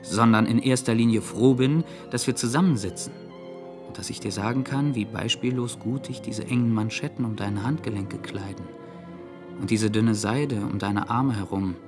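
An actor playing an earnest, phlegmatic young gentleman in love, speaking in a musing, wordy, pleading way. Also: fairly low pitch, moderate pace, music in the background, lightly acted. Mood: tender, rambling.

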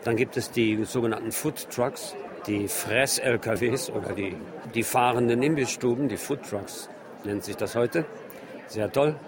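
A man reporting for radio from a large, busy exhibition hall. His delivery is unscripted and descriptive, at a middle pitch, with plenty of crowd noise around him. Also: unhurried. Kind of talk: on-site radio reportage and interview. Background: crowd murmur.

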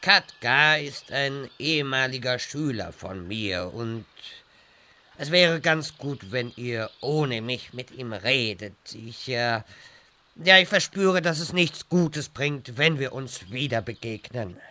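Slightly high male voice, very bright and forceful, unhurried, grumpy rambling old wizard, parody audio drama, acted by a man. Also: lively intonation, exaggerated voice. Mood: evasive. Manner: rambling, peevish. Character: grumpy, evasive old wizard mentor, prone to reminiscing.

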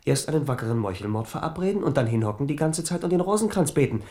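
A man acting in a German radio drama as a cunning nobleman jester posing as a rough murder plotter, sly and mocking. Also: fairly high pitch, very animated, fast, dark tone. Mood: mocking, scolding.

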